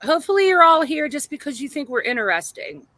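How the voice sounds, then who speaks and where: A high-pitched woman co-hosting a relaxed livestream, playful and chatty. She jokes around, greets viewers by name and banters with her co-host. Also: fast talker, no room sound. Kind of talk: casual livestream chat.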